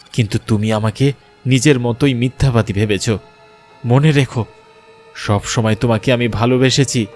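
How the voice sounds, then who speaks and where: A man's voice: mid-range voice, lightly acted. Man acting a dramatic young horror-story narrator, music behind. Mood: urgent, determined. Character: frightened young man, betrayed lover recounting his ordeal.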